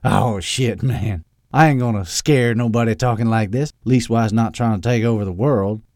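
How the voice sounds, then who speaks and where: A man's voice: medium pitch, moderate pace, a little mellow, no room sound, clean studio sound, close to an everyday voice. A man voicing an eager, insecure mad-scientist villain in an audiobook, rambling and theatrical, with a Southern accent that wanders into mock-Austrian. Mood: casual, eager. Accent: American South, wandering to mock-Austrian.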